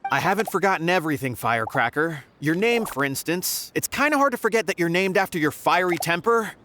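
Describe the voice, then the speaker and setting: Wry, lively frog. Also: male voice, high voice, brisk, a little hiss or hum. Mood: exasperated. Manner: wry, mildly defensive. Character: hesitant, wry male paper frog singer. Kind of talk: video game voice acting.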